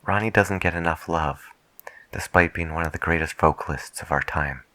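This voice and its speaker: A man speaking softly and half-whispering in an unhurried, musing way, as a late-night radio DJ in an ASMR roleplay. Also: a bass voice, dry recording.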